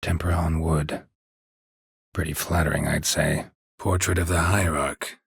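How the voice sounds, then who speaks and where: A gruff, world-weary monster hunter for a video game. His voice is very low and heavily rasped, recorded dry and close. He speaks in terse, deadpan phrases with a stoic temper and dry understatement. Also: measured pace, no echo, full character voice. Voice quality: gravelly, husky rasp. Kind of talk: video game character dialogue.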